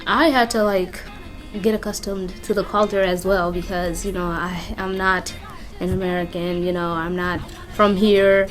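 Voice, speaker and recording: A woman's voice: lowish voice, fairly even intonation, moderate pace. A woman from Kenya speaking candidly in an interview, often using fillers like "you know" and "like.". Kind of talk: personal interview narrative. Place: community youth center. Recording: music bed under interview. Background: music playing underneath.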